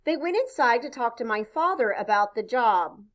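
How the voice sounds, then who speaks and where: A woman reading a children's story aloud expressively, pausing to chat with her listeners. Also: fairly high pitch. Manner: expressive, pauses to point things out and ask questions. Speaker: storybook reader.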